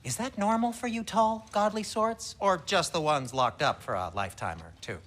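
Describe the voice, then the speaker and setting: A woman's voice: mid-range voice, moderate pace, close to an everyday voice. A woman playing a sardonic, incredulous housekeeper in a fantasy video game. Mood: wryly incredulous. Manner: incredulous, wry. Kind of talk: video game character acting.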